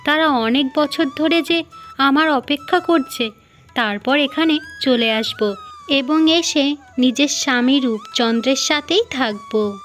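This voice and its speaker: Woman voicing a high-voiced young cartoon princess. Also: takes it slowly, fairly bright tone. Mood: reassuring. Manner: formal, declarative storybook speech. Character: young earnest princess, firm and respectful. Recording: music behind the voice.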